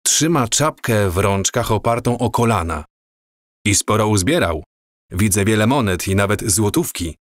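A man playing a dreamy, awestruck wanderer in an audio drama, with a measured, wistful, descriptive delivery. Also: lowish voice, animated, no echo, studio quality, natural voice. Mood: dreamy, absorbed.

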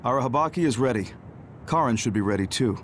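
Man acting a composed, mid-pitched anime strategist. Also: animated, quick pace, slight background noise, lightly acted. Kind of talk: English anime game dub acting.

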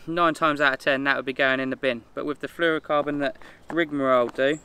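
A man's voice: medium pitch, fairly even intonation, fairly quick, pushed and bright, smooth. A man in his thirties outdoors, giving a friendly, easygoing run-through of his fishing rig. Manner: friendly, easygoing, with ums and uhs. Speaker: angler, team member demonstrating a rig. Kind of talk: casual product demonstration. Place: outdoors by a hedge.

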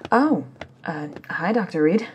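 A woman playing an anxious, self-doubting scientist in an audio drama, breathy and halting as she searches for words. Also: on the low side, expressive, noisy background. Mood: flustered, polite. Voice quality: breathy, husky. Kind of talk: ASMR audio drama acting.

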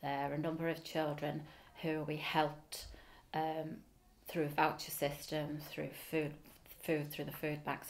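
A middle-aged woman with a Liverpool accent, speaking earnestly in an interview in a school office, with frequent ums. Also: sits low. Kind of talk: oral history interview. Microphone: seated facing camera.

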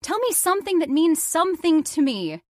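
High-voiced, anxious young woman, acted by a woman. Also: sing-song, normal speed, darkish tone, no room sound, studio quality. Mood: frustrated, pleading. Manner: pleading, questioning, dramatic. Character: anxious, insistent young woman seeking family secrets. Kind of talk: old-time radio horror acting.

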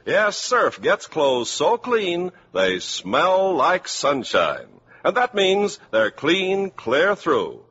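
An American man reading scripted announcements in 1950s radio style, deliberate and emphatic. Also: sits high, huge pitch swings, fairly bright tone, slightly rough. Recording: dull 1950s radio broadcast recording.

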